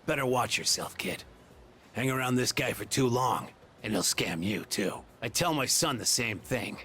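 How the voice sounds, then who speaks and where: A man's voice: mid-range voice, lively intonation, normal speed, pushed and bright, soft background music. An actor playing a stern, exasperated father in a video game, curt and contemptuous. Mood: irritated, warning. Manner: curt, scornful. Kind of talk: English video game voice acting.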